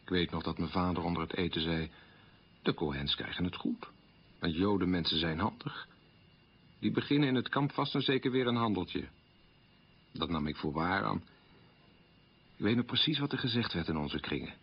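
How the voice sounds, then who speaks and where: Casting: middle-aged man, low voice, earnest and self-reproaching, confessional radio drama, muffled old recording. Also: lively intonation, normal speed, pauses often, breathy edge, full character voice. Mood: sober recollection. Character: guilt-ridden middle-aged son of a wartime collaborator.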